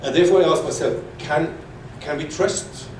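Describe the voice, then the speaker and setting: A man from Norway asking a question from the floor at a panel, halting and repeating his words. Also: fairly high pitch, a little hiss or hum. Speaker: audience member asking a question. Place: large echoey conference hall. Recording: echoey hall audio from far off.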